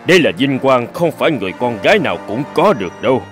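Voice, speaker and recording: A man's voice: mid-range voice, big pitch swings, fast, faint music behind, lightly acted. A man voicing an imperious foreign king who threatens a captive princess in a cartoon fairy tale. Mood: courting. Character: domineering foreign king, haughty suitor.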